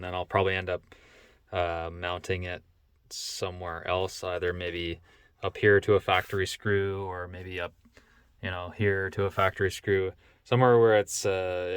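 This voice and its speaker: A man with a low-pitched voice talks through hands-on work as a DIY host. His delivery is level, with little melodic movement, and he lays out each step plainly and casually in a matter-of-fact how-to style. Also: clean tone, dry recording. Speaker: DIY vehicle mechanic, video host.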